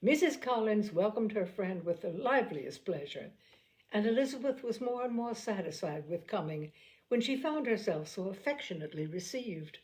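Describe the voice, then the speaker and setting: A woman narrating a classic novel in a measured, unhurried storytelling voice. Her pitch sits in an ordinary middle range, and she now and then stumbles on a word and corrects herself. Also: animated, a little mellow, poor audio. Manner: measured storytelling, occasional slips and self-corrections. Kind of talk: audiobook narration.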